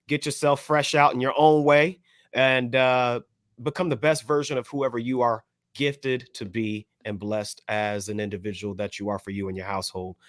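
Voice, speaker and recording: A man's voice: mid-range voice, fairly even intonation, normal speed, no echo, clean studio sound. A man co-hosting a podcast in casual, slangy banter, often repeating phrases for emphasis. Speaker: podcast co-host.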